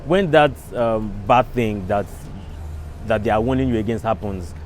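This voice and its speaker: A Nigerian-accented man giving an unscripted, somewhat halting opinion. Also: on the low side, faint music behind. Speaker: street interviewee.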